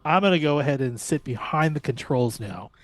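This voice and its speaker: A man's voice: mid-range voice, expressive, brisk, no echo. A man hosting a podcast interview, asking curious, encouraging questions in a casual way. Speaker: podcast host.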